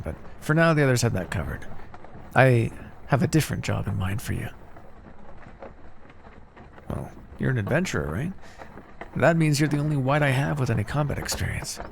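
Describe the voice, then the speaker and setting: Gentle, gravelly necromancer master, played by a man. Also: medium pitch, a little hiss or hum. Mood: reassuring, encouraging. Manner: gentle, reassuring, conversational. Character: kindly, encouraging necromancer master, earnest and casual. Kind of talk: ASMR fantasy roleplay monologue.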